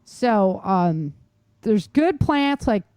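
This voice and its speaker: A woman's voice: mid-range voice, big pitch swings, drawn-out words, darkish tone, no room sound, studio quality. A middle-aged American woman hosting a podcast, talking informally and emphatically as she instructs her listeners directly. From United States. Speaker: podcast host. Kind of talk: casual instructional podcast talk.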